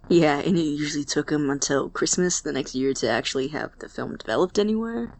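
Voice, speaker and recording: A man acting in an audio drama as an awkward, earnest, apologetic college student who stammers and speaks softly. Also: on the high side, lively intonation, normal speed, dry recording. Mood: wry. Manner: hesitant, stammering, soft and breathy when sincere.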